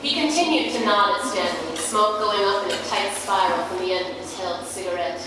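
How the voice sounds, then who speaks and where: Female narrator, live fiction reading, mid-pitched, distant in a long reverb. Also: normal speed, over a noisy crowd. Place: large echoey venue, live reading. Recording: echoey hall, far from mic, noisy.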